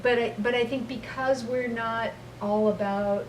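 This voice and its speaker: A middle-aged woman speaks thoughtfully, pausing often with ums. Her voice sits far from the mic in an echoey space. Clear background noise runs underneath. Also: a little high, fairly even intonation. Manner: thoughtful, frequent ums, slow and halting. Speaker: interviewee, company representative. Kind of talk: interview answers. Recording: roomy, distant mic, noisy ambience.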